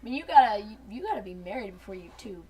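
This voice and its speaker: A woman's voice: medium pitch, animated, fast, mellow, rough recording. A woman in playful, sarcastic casual commentary, as a reviewer chatting in a group. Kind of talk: joking casual commentary on fan fiction. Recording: home recording, faint noise, clicks.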